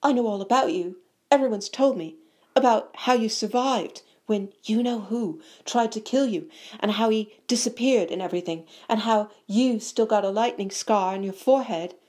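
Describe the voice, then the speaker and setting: Woman voicing an eager, starstruck little schoolboy, higher-pitched. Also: moderate pace, lightly acted. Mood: breathless excitement. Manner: excited, gushing. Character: eager, starstruck small schoolboy fan, breathless and chatty.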